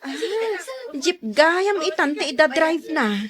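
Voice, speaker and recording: A woman's voice: sits high, lively intonation, slow pace, a crowd in the background. A woman with an Ilocano accent playing a bossy, complaining, demanding wife in a radio drama. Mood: fussy, put out. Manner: bossy, exclamatory, grumbling. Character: sharp-tongued, demanding wife, fussy and complaining about neighbours.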